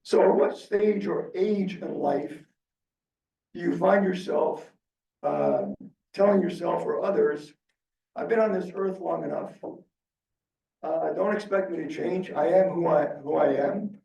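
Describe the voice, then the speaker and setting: A man from Egypt speaks earnestly, stopping often to search for words. His voice is gravelly and mellow, pitched a little high. He sounds distant in a roomy, echoey meeting room. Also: expressive. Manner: earnest and halting, many pauses and fillers. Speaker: guest speaker, business owner. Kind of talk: reflective motivational talk. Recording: echoey room, far from the mic.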